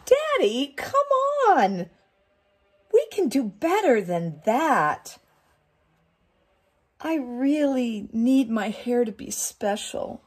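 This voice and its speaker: A woman voicing an excitable, sweet and pleading little girl from a storybook read aloud. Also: on the high side, extremely slow, long pauses, breathy edge, pitched above the performer's own voice, full character voice.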